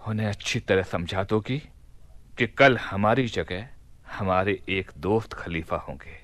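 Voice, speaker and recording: A mischievous ruler of a palace for a radio play. He speaks slowly and deliberately in a fairly low, dry-recorded voice, giving orders with imperious theatrical flair. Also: fairly bright tone, no room sound. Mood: commanding. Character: commanding, mischievous caliph planning a prank in his palace. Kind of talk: Hindi radio play acting.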